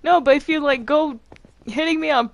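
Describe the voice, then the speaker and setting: A woman with a high voice chats casually as she plays a game with friends. She is playful and teasing, and tends to repeat words to get attention. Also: moderate pace, gravelly, no room sound. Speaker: gamer in a group game session. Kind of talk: casual gaming chat.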